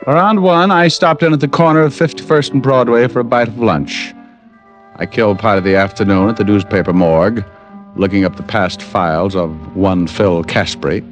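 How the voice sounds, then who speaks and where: A man's voice: lowish voice, natural voice. An actor playing a dry-witted male private detective who also narrates, in a 1950s radio drama. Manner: dry, wisecracking, laconic. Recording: old radio broadcast with faint music.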